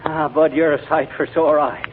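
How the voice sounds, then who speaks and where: Male actor as impatient grumbler husband, 1940s radio sitcom, slightly high voice, phone-like old audio. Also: level tone, normal speed, natural voice. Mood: exasperated, grumbling. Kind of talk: 1940s radio comedy acting. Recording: tinny 1940 radio, faint noise.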